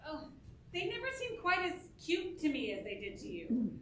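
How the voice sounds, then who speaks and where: Woman playing wary tactful assistant lady, high pitched, far off mic with hiss. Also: sing-song, moderate pace, fairly bright tone. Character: cautious, protective, tactful woman assistant to a star. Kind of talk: theatrical acting in a staged musical reading. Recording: distant roomy stage sound, clear noise.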